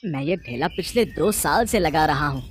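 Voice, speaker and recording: A woman playing an earnest, protective young student son in an animated Hindi moral story. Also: sits low, moderate pace, noisy background. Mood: sincere. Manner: earnest, emphatic.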